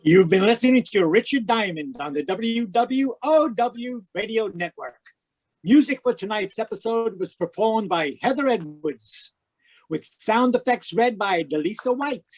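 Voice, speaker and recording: Old time radio announcer guy, really high voice, dry close sound. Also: expressive, unhurried, a little mellow, no room sound, low-quality recording. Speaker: announcer and cast member. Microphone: video call.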